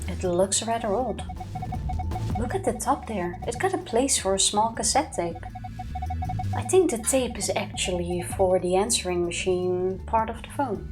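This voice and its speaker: A woman of middle pitch chats casually while solving game puzzles, teasing her partner. Game music plays clearly behind her voice. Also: animated, normal speed. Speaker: co-host playing a video game. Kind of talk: casual let's-play chat.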